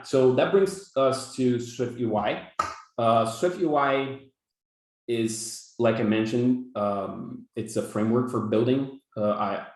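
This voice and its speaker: A man's voice: mid-range voice, darkish tone. A young man from Brazil with a Brazilian Portuguese accent, teaching a workshop over a video call and stumbling through fillers and repeated words. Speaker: workshop instructor. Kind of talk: live coding workshop lecture. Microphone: video call webcam. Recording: echoey room on a video call.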